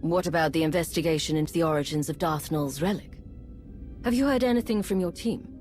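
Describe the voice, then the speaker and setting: A woman's voice: deep voice, brisk, darkish tone, soft background music, natural voice. An actress playing a loyal, direct female agent in a video game, speaking earnestly. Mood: concerned. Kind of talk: scripted game dialogue.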